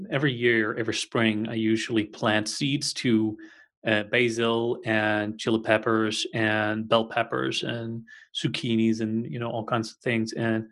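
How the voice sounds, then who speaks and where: A man's voice: medium pitch, gravelly, fairly bright tone. A man co-hosting a podcast, chatting casually in a rambling, friendly way and sometimes searching for words. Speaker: podcast co-host. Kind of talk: casual chat.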